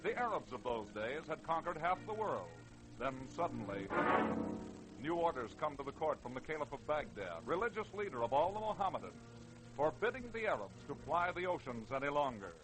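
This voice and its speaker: Animated male old-time radio narrator. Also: fairly high pitch, normal speed, music in the background. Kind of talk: dramatic scripted 1940s radio narration. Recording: faintly noisy old radio recording.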